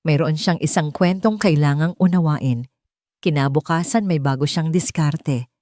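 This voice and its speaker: Female Filipino audiobook narrator, low and mellow, scripted dramatic storytelling, dry sound. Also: normal speed, no echo.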